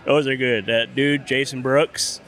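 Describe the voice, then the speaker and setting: A man's voice: medium pitch, steady tone, a little slow, a bit bright, soft background music. A man talking casually and informally about horror films, with plenty of slang.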